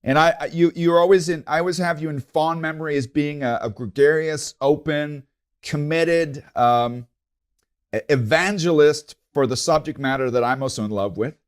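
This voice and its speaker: A man's voice: a little high, moderate pace. A man hosting his own podcast, rambling and hesitant, with many fillers and restarts. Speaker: podcast host. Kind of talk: casual interview hosting.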